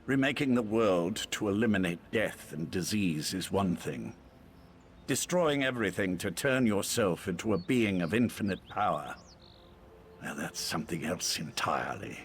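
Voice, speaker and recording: A man playing a grieving, bitter elf father and former cultist in a video game, speaking in a measured, earnest way. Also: deep voice, expressive, a little hiss or hum. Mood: solemn conviction.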